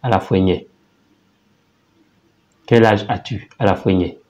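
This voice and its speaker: A man teaching a language lesson in a casual, halting way, often repeating words and saying phrases slowly. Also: on the low side, steady tone, darkish tone, lo-fi sound. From Côte d'Ivoire.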